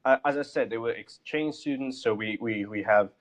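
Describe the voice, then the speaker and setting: A man from Morocco, raised abroad, talking casually about his crypto project in a halting way full of ums and restarts. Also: medium pitch. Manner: halting, many fillers and restarts. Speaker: project founder guest. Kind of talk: casual live audio chat.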